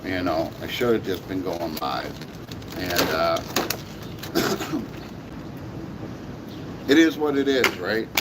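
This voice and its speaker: A man with a sore, hoarse voice chatting casually about toy collecting, rambling with many fillers and repeats. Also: low-pitched, expressive, takes it slowly, long pauses, heavy background noise. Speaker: toy reviewer. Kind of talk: casual vlog chat.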